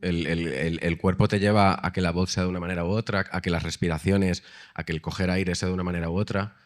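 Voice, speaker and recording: A man's voice: low-pitched, steady tone, quick pace. A male actor speaking on a live panel, thoughtful and restarting with repeated words. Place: festival press event room.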